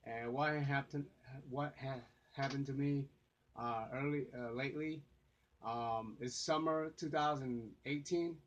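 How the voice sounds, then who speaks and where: A non-native English-speaking man whose first language is Vietnamese, talking casually to his phone camera. He rambles, hesitates and restarts often, and the lo-fi phone audio sounds dull and muffled. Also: medium pitch. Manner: rambling, many fillers and restarts. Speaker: vlogger.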